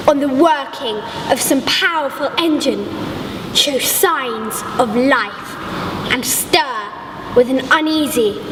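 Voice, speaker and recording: A woman's voice: sits high, distant mic. A young woman playing a horrified Gothic scientist and dreamer in a solemn dramatic reading, recorded in a noisy, echoey hall. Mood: haunted. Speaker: pupil performer in a school production.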